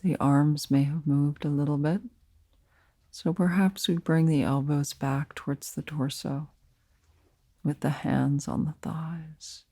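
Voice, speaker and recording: Woman doing guided meditation, soft n mellow, gravelly low creak, really dry close sound. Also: no echo. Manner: soft, soothing, unhurried, pausing between phrases. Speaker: meditation teacher.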